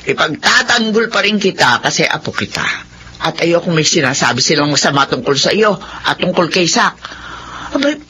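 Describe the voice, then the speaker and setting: A woman's voice: lowish voice, very animated, moderate pace, bright, forceful tone, husky, full character voice. A woman playing an affectionate, chatty elderly Filipino grandmother who teases and exclaims, in a radio drama. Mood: teasing, indignant. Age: older.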